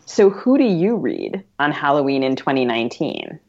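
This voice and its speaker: A woman's voice: extremely low pitch, huge pitch swings, moderate pace, darkish tone, dull audio. A woman hosting a podcast in a conversational interview style. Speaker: podcast host.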